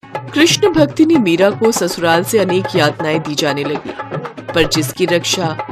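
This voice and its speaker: A woman's voice: lowish voice, lively intonation, a little slow, over music. A woman storyteller reading a scripted devotional story.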